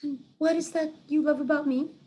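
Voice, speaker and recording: A woman's voice: sits high, steady tone, a little fast, mellow, voice pushed up, lightly acted. A woman voicing a haughty, lovestruck female cat in a children's storybook, with grand, melodramatic delivery. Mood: sweetly insistent.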